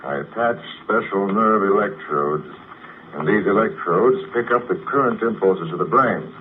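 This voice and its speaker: An actor playing a confident sanitarium psychiatrist who explains things in a measured, self-assured way, in 1950s radio drama style. It is heard on a tinny 1950 radio broadcast with faint hiss. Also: male voice, lowish voice, natural voice. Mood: calm explaining. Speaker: doctor.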